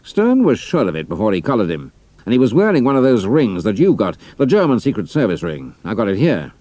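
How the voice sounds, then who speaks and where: An actor playing a senior British army intelligence officer, measured and dry, with a British accent, in an audio drama. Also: male voice, lowish voice, huge pitch swings, dark tone, no room sound. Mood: measured, matter-of-fact.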